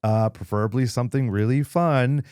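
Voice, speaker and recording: A man co-hosting a podcast in casual chat, animated and jokey, often repeating himself. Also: mid-range voice, moderate pace, clean tone, no room sound, clean studio sound. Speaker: podcast co-host.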